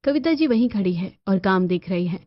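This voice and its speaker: A woman giving scripted narration for an animated family story. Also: medium pitch, fairly quick, no room sound, muffled recording.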